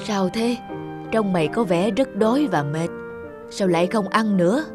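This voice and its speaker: Woman doing a kind old granny from a cartoon, gentle, middle pitch, music playing behind. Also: lively intonation, moderate pace, natural voice. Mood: caring, curious. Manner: gentle, caring, musing aloud. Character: kindly, compassionate elderly grandmother. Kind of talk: animated moral tale dubbing.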